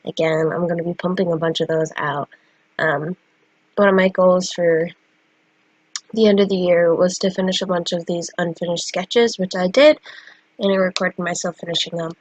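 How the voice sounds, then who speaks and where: A woman's voice: on the low side, steady tone, moderate pace, low-quality recording. A young woman with a stuffy, cold-congested voice, chatting casually about her drawings with many fillers. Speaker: artist vlogger. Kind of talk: casual chat.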